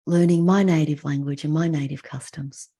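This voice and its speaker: A middle-aged woman with an Australian accent giving a reflective prepared talk with personal stories, speaking in measured, deliberate phrases with pauses. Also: low voice, steady tone, dark tone. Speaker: mental health clinician giving a talk.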